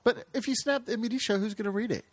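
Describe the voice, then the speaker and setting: A man with a somewhat high voice chatting on a casual podcast. He sounds skeptical and keeps pressing with questions. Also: racing, no room sound. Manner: skeptical, asks lots of questions. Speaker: podcast co-host. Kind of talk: casual chat.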